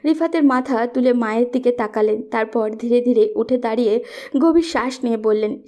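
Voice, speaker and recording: A woman's voice: on the high side, normal speed, dark tone. A woman reading a scripted romantic story as its narrator.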